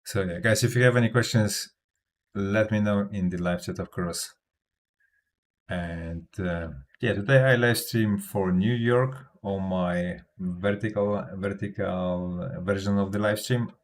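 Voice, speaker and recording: European guy rambling on a livestream, second-language english, lowish voice, lots of uh and um. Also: unhurried. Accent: non-native English. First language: not English. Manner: hesitant, many fillers and restarts. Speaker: crypto livestreamer. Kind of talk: casual livestream talk.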